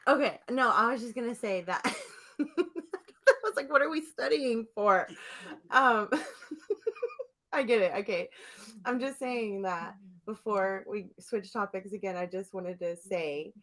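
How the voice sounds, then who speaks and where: A woman's voice: on the high side, lively intonation, slow pace, pauses often, fairly bright tone. A woman co-hosting a podcast in a casual chat, talking in a rambling, teasing way. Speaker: podcast co-host.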